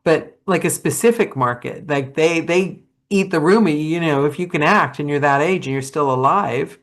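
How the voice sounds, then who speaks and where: Woman, deep voice, playful, laughs often, video-call host. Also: moderate pace. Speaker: podcast host. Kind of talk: casual video-call interview.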